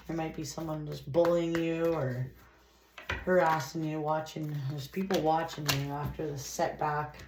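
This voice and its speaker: A woman with a stuffy nose from a cold, giving a chatty, rambling tarot reading and reading card messages aloud. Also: sits low. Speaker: tarot reader. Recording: echoey room with clear noise.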